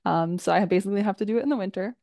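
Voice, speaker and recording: Canadian-raised woman, gravelly, dry close sound, relaxed filler-heavy panelist. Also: mid-range voice, racing, no room sound. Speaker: panelist, software engineer. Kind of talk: casual chat.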